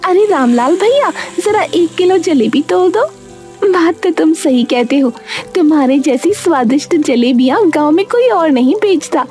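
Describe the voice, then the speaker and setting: A woman's voice: very high voice, lively intonation, normal speed, a little mellow, faint music behind, pitched above the performer's own voice. A woman voicing a friendly, chatty female customer in a cartoon story. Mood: praising. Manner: friendly and praising.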